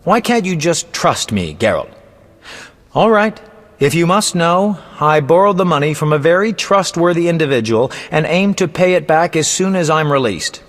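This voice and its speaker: Casting: vain roguish game bard, theatrical and florid, fairly high voice with swooping melody. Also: male voice, expressive, moderate pace, a bit bright, big-room sound. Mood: breezy, sly. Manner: theatrical, florid, self-important. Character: flamboyant, vain, roguish male poet and bard. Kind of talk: video game voice acting, storytelling.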